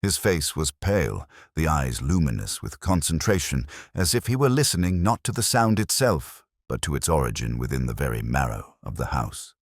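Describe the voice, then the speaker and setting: Very deep-voiced male mystery narrator. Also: sing-song, moderate pace, hardly any pauses, dry recording, clean studio sound. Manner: solemn, atmospheric storytelling. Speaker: audiobook narrator. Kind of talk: scripted first-person mystery narration.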